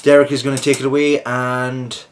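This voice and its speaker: A man's voice: medium pitch, level tone, moderate pace, a little hiss or hum. A man hosting his own channel, introducing a video in a rambling, joking way with many restarts. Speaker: channel host.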